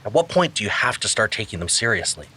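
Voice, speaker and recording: A man's voice: lowish voice, sing-song, quick pace, pushed and bright, slightly rough, heavily acted. An actor playing a troubled, weary man confessing his story in a fiction podcast monologue. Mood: troubled, uneasy. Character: troubled male narrator haunted by mysterious voice messages, weary.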